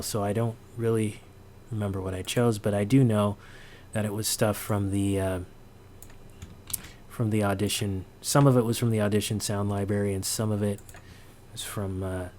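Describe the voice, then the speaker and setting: Low-voiced man casually thinking aloud. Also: steady tone, moderate pace, darkish tone, slight background noise. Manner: hesitant, thinking aloud, throat-clearing. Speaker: sound designer presenting a tutorial. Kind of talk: casual screen-recorded walkthrough.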